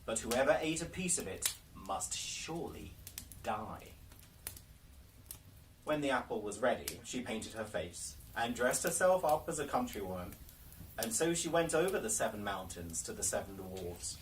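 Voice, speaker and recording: A man reading a fairy tale aloud as a storyteller, with theatrical, deliberate phrasing. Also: lowish voice, big pitch swings. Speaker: library storyteller. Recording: noisy, distant microphone, clicks.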